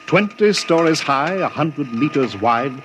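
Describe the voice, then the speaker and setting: An actor playing a deferential, flustered palace official in a 1950s radio drama. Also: male voice, fairly high pitch, animated, unhurried, noisy background. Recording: remastered 1950s radio broadcast.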